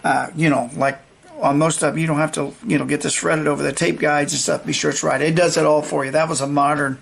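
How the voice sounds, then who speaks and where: Older guy showing off old gear, kinda high creaky voice, rambles along folksy and halting. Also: level tone, fairly bright tone. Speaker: vintage electronics demonstrator. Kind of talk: casual unscripted product demonstration.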